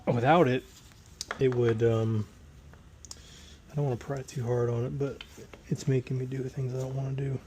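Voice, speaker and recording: A man with a hoarse voice, recovering from tonsillitis, casually talking through a transmission teardown as he works. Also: mid-range voice, little pitch movement, moderate pace, pauses often, dark tone, slight background noise. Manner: plain-spoken, working while talking. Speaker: transmission rebuilder. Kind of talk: casual shop walkthrough.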